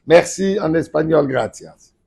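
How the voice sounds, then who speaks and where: An older man, a rabbi, giving a Torah lesson, emphatic and at times forceful. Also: a little high, a little slow, gravelly, background noise. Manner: emphatic, rising to forceful outbursts.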